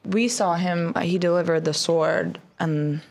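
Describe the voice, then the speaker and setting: A woman playing a tabletop game who chats quickly and offhandedly. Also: fairly low pitch, fairly even intonation, vocal fry, darkish tone. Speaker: player. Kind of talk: casual tabletop game chat.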